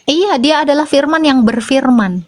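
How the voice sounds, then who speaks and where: A woman with a fairly high voice argues her point over a voice call. She is assertive and snaps back with quick rhetorical questions. She sounds confident and insistent throughout. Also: no echo. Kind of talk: live call-in debate.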